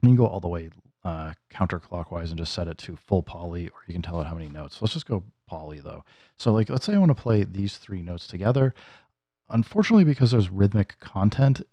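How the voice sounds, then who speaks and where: A man's voice: low-pitched, lively intonation, a little fast, mellow, breathy edge, dry recording, lo-fi sound. A man casually teaching music gear hands-on, thinking aloud informally as he demonstrates. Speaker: music gear tutorial host. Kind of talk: casual hands-on software tutorial.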